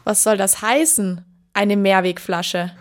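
A woman playing a cheeky, curious little talking lemonade bottle in a children's radio play, expressive and questioning. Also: mid-range voice, slow, natural voice.

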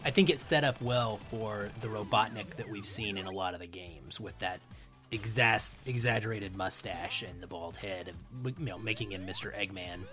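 A man's voice: fairly low pitch, normal speed, music in the background. A man speaking as a podcast guest in casual film discussion, thinking aloud as he goes.

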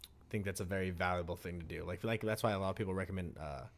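A man's voice: low voice, fast. A man co-hosting a casual talk show, rambling loosely with swearing and joking asides. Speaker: podcast co-host. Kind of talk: casual chat.

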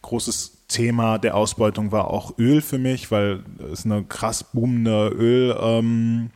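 A man's voice: mid-range voice, steady tone, slow pace, a little mellow. Man in his late twenties, thoughtful interview guest, lots of fillers and restarts. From Germany. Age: late 20s. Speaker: musician guest. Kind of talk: casual interview talk.

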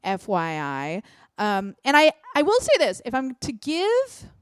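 A woman in her thirties hosts a casual podcast chat, quick to swear and laugh at her own slips. Her voice is smooth and clear, and her middle pitch sits close to a dry, dead microphone. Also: wildly swooping pitch, dry recording. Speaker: podcast co-host.

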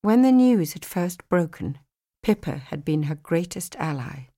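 A woman with a fairly low voice narrates an audiobook. She reads scripted fiction as a storyteller would. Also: lively intonation, measured pace, warm tone.

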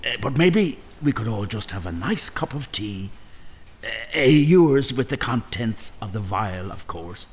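An actor playing a smug, coldly polite Irish government man turned kidnapper in an audio drama, with a pompous, measured manner. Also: mid-range voice, lively intonation, natural voice. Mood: coolly menacing. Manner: pompous, mock-courteous, measured.

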